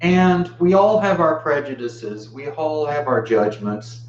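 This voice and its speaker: An American man of fifty-nine giving an informal dharma talk in a reflective, halting way with long pauses. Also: fairly high pitch. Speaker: Buddhist teacher giving a talk. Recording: muffled, roomy, faint background hum.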